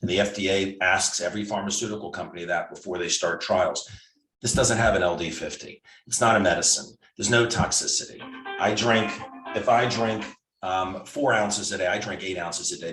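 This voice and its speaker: A man's voice: sits low, a bit bright. A man in his sixties, a physician guest, explaining things step by step in a casual, conversational way with frequent restarts. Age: 60s. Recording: roomy and distant from mic.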